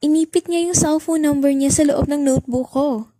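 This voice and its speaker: A young woman plays a chatty Filipino best friend in a radio drama. The voice is high and girlish, quick to tease and easily exasperated. It is recorded very dry, with little room around it. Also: fairly even intonation, mellow, dry recording, lightly acted. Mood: excited, smitten. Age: young adult. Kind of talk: student radio drama dialogue, mixing Tagalog and English.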